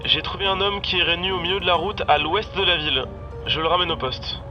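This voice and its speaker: A man's voice: high voice, brisk, muffled recording, music in the background, pitched above the performer's own voice. A man playing a dry young police lieutenant calling dispatch by radio in an audio drama. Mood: businesslike, dry. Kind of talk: audio fiction character acting.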